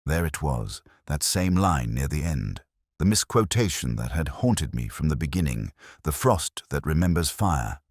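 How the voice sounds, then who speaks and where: An actor playing a weary, melancholy middle-aged detective, narrating a scripted mystery in a measured, literary, sombre way. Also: male voice, extremely low pitch, sing-song, slightly rough, no echo, studio quality, heavily acted. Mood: pensive, sombre.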